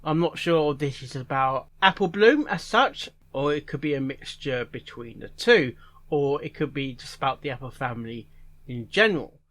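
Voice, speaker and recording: Man with a swooping, animated pitch. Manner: halting, interjecting, echoing phrases. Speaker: host of a reaction video. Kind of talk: casual reaction commentary.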